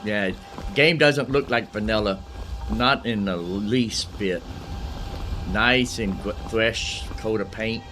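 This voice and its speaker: A man's voice: on the low side, slow. A man giving casual, rambling, folksy commentary as a gaming streamer. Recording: loud background noise under commentary. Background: game ambience and dialogue.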